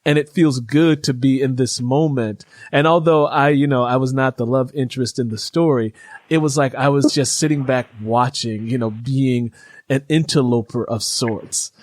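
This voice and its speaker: A man's voice: medium pitch, steady tone, moderate pace, dry recording. A man hosting an interview in a casual, wordy way, often repeating himself as he talks. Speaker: interview host.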